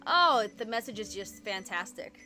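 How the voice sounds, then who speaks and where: A woman's voice: medium pitch, expressive, pushed and bright, soft background music. A woman from Puerto Rico hosting a casual reading-sprint live stream from her home computer, chatty and rambling with lots of "like.". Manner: chatty and rambling, fast-talking with frequent "like".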